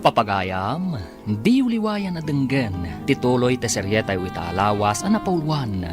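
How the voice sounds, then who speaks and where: A man's voice: mid-range voice, sing-song, unhurried. A man with a Filipino accent giving scripted radio drama narration and announcements. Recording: music bed behind announcer.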